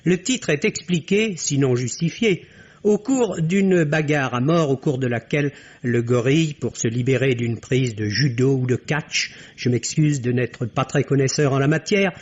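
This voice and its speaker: A man reading a scripted film review in the formal, wry manner of 1950s French radio. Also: medium pitch. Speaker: film critic. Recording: dull vintage radio recording.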